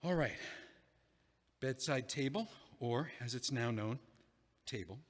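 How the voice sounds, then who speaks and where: Man acting rueful dumped guy, dry wry delivery, mid-pitched voice, audio play, long hall reverb. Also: expressive, slow. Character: recently dumped man, rueful and self-mocking.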